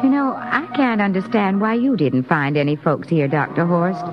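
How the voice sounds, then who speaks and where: A woman's voice: on the low side, normal speed, lightly acted. An actress playing a doting, folksy older mother, fussing and sweetly hospitable, in 1950s radio drama style. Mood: concerned. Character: doting, folksy, elderly mother of grown sons. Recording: dull old radio broadcast, music behind.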